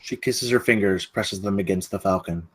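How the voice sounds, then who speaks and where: A man's voice: deep voice, normal speed, a bit bright. A man reading scene directions as the narrator of a live screenplay table read.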